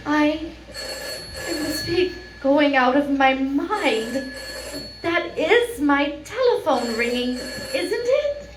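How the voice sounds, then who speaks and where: A woman playing a frantic, frightened heroine in a live old-time radio drama, speaking in halting, stammering, melodramatic bursts. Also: very high voice, exaggerated voice. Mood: shaken. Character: Geraldine, frightened, frantic woman trapped on an island. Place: live venue performance, picked up from a distance. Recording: distant, echoey room with faint noise.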